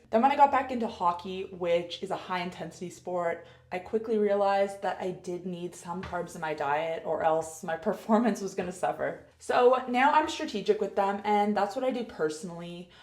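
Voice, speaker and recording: A woman's voice: lowish voice, moderate pace, few pauses, darkish tone, reverberant. A young adult woman with a Canadian accent, chatting upbeat in a get-ready-with-me vlog as a health coach, laughing between points.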